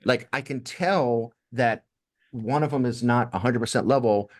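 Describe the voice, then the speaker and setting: A man's voice: medium pitch, a little slow. An American man co-hosting a casual tech podcast, explaining things in a conversational way. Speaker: podcast co-host. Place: home or work office.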